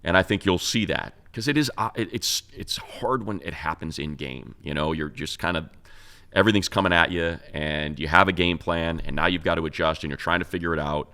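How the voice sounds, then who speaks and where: A man's voice: sits low, brisk, pushed and bright. A man co-hosting a sports talk show, giving casual, conversational football analysis and thinking aloud as he goes.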